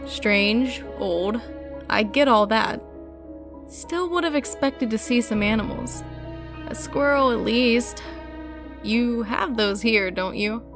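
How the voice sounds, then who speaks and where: A woman's voice: a little high, slow pace. A woman playing a wry, sceptical monster hunter in an audio story, with a dry, casual, sarcastic manner. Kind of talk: audio story character acting. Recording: music clearly behind the voice.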